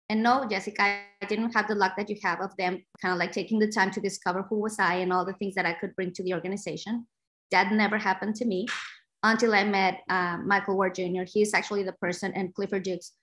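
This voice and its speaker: Female panelist, middle-aged, mid-range pitch, heartfelt and emphatic on a video call. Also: a bit bright. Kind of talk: personal account on a virtual panel. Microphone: computer mic on video call.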